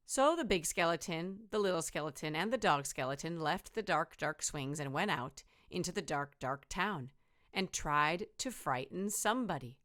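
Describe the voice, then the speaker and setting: A woman with a slightly low voice narrates a children's storybook. She reads like a teacher to young listeners, deliberate and rhythmic, with plenty of expression. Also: expressive, fairly bright tone. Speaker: teacher reading aloud.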